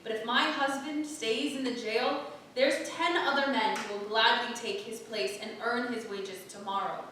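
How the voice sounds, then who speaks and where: An actress playing a blunt, aggrieved working wife of an early modern town in a staged play reading. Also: female voice, on the high side, fairly even intonation, normal speed, pushed and bright. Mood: pointed. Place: playhouse stage. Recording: echoey theatre from far off, faint noise.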